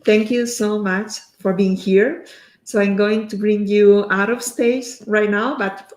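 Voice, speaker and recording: Female stream host, halting and rambling delivery, echoey room sound. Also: medium pitch, steady tone, darkish tone. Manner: halting, loosely built phrasing. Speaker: host of a livestream. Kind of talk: live stream interview.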